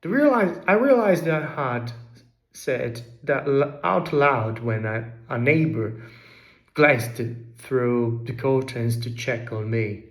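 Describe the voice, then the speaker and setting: A man's voice: medium pitch, expressive, slow, roomy sound, poor audio. A man with a Derbyshire accent telling a personal story in an earnest, brooding first-person voice. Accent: Derbyshire English.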